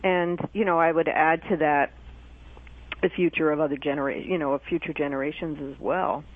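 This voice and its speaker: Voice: medium pitch, steady tone, a little fast, no echo. Hesitant radio host over a tinny line. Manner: hesitant, many fillers and restarts. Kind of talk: radio interview talk.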